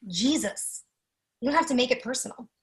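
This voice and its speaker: An actress playing a sharp, defensive middle-aged wealthy wife, sardonic and challenging, in an online screenplay reading. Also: female voice, high voice, expressive, brisk, rough recording. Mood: defensive, scornful. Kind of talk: online screenplay table read.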